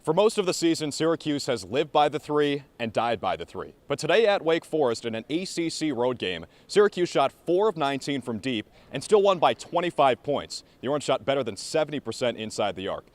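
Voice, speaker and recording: A man's voice: mid-range voice, very animated, brisk, hardly any pauses, dry recording. A man in his early twenties working as a sports broadcaster, with polished commentary and reporting. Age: early 20s. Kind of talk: play-by-play commentary, interviews and studio reporting.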